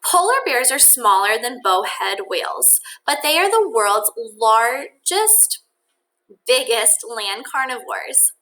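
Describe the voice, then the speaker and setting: A woman teacher reading a children's nonfiction book aloud in an expressive, deliberate way, with think-aloud asides addressed to children. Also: fairly high pitch, a little hiss or hum, low-quality recording.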